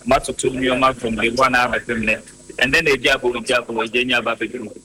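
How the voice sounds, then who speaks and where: A man, an elections committee member, giving halting answers in an interview. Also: mid-range voice, flat delivery, sharp tone, breathy edge, low-quality recording. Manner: halting, searching for words.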